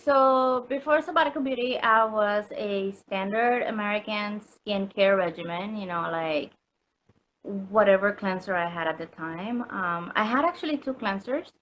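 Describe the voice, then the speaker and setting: A woman's voice: mid-range voice, normal speed, highs cut off, slight background noise. A woman from Puerto Rico chatting as an interview guest, full of ums and likes, laughing easily. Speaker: customer guest. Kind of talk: casual interview chat.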